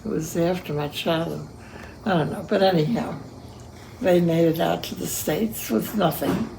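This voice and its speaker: A very old woman of about a hundred, reminiscing slowly in an oral history interview. Her voice is low and gravelly, with a faint hum of room noise behind her. She speaks at an unhurried, halting pace. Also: warm tone, low-quality recording. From Cuba. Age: 100. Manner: slow, reminiscing, hard of hearing.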